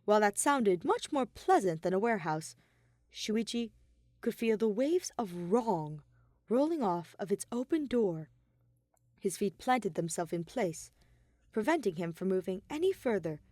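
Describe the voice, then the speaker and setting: Woman reading a story out loud, really animated intonation, dry sound with no room. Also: mid-range voice, unhurried, no echo. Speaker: audio fiction narrator. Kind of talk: scripted story narration.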